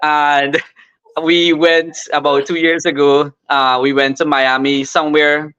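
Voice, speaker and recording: A man rambles happily through his stories from home, restarting his sentences and laughing along. His voice is bright and fairly high. The call audio comes through dull and lo-fi. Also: flat delivery, slow pace, clean tone. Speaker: musical artist and guest. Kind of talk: casual storytelling on a remote interview.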